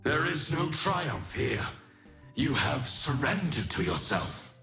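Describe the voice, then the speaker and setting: An actor voicing an ancient fallen god-warrior in a video game, solemn, formal and commanding, wrath held under restraint. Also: male voice, a little high, sing-song, slow, gravelly, sharp tone, soft background music, heavily acted. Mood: menacing.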